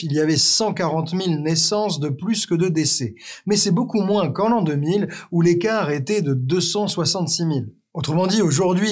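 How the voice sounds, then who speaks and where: A man hosting an educational video, narrating a script in a clear, teacherly way with the odd wry aside to the viewer. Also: high voice, a little mellow. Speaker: explainer video host.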